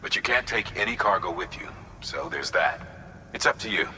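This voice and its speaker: An actor playing a steady, authoritative male commander giving a measured mission briefing in a video game. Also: deep voice, heavily acted. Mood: practical. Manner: measured, instructive. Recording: long hollow reverb, some noise.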